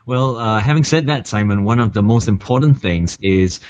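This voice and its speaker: A man's voice: fairly low pitch, lively intonation, normal speed, darkish tone. A man co-hosting a seminar promo over a video call, speaking hesitantly with many "uh"s and "um"s. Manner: hesitant, heavy on "uh" and "um". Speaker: seminar co-host.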